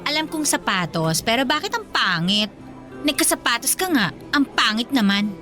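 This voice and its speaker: A woman's voice: fairly high pitch, expressive, moderate pace, bright, forceful tone, soft background music. A Filipino woman playing a snobbish, mean schoolgirl bully in a radio drama, sneering and mocking. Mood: scornful.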